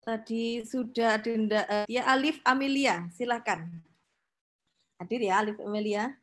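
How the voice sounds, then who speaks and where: A woman's voice: fairly high pitch, measured pace, fairly bright tone. A woman runs an online meeting as a lecturer. She speaks informally, directing people and checking in with questions. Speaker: lecturer supervising a student group meeting.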